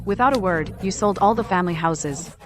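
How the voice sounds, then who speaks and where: A woman's voice: medium pitch, expressive, fairly quick, background noise, natural voice. An actress playing an older, indignant mother-in-law in a dubbed drama, scolding as she speaks.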